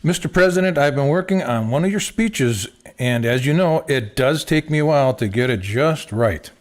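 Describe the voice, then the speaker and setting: A man playing a diligent presidential aide and speechwriter in live radio theater, deferential and deliberate. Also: mid-range voice, lively intonation, natural voice. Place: live stage before a studio audience in a church.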